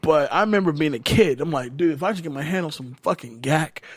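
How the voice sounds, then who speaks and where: A man's voice: on the high side, expressive, fast, no echo. A man in his late twenties hosting a casual movie chat show, loose and joking, swearing freely. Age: late 20s. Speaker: podcast host.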